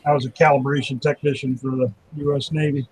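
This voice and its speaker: A man in his fifties chatting casually as a guest, relaxed and slightly stammering. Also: mid-range voice, fast talker, breathy edge, low-quality recording. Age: 50s. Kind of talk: casual group chat.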